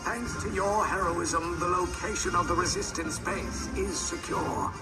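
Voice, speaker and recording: A man's voice: high voice, steady tone, unhurried. An actor playing a proud male resistance lieutenant congratulating recruits. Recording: film audio heard far off under loud music.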